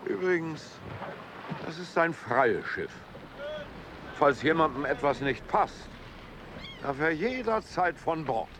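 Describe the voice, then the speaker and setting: An actor playing a middle-aged, carefree veteran sea captain in a radio play, blunt and offhand. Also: male voice, a little high, expressive, takes it slowly, long pauses. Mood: offhand, curt. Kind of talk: German radio play acting. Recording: noisy windy seagoing ship ambience.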